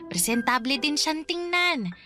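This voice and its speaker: A woman playing a proud, warm-hearted elderly mother in a radio drama, with a Filipino accent and fond, exclaiming delivery. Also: high-pitched, lively intonation, measured pace, exaggerated voice. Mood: pleased, teasing. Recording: music under the dialogue.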